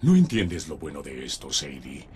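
Murderous smug official, Latin American Spanish, menacing, sardonic, widely swinging pitch, loud ambience behind. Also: male voice, wildly swooping pitch, normal speed, a little hiss or hum, full character voice. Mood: cold, menacing. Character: ruthless, smug, murderous male official fleeing a doomed city. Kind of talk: dramatic audio drama acting. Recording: voice over loud background ambience.

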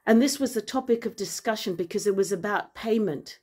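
A woman raised in Zambia speaks straight into her phone, held at arm's length, in a light-filled room full of plants. Her voice is middle-pitched. Her delivery is earnest and deliberate, with a firm edge of conviction. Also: soft background music. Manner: earnest, measured, grows forceful and indignant. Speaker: vlogger and author. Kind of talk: impassioned personal address to camera. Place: indoor room with large windows and plants. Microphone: phone camera at arm's length.

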